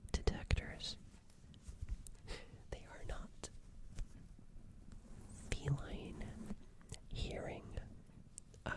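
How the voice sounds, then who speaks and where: Slow, whispering woman, soothing ASMR. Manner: soothing, unhurried, repeats words gently. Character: gentle, caring alien woman comforting a crash survivor. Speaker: ASMR streamer roleplaying a character. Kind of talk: soft whispered ASMR roleplay. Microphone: close ASMR microphone.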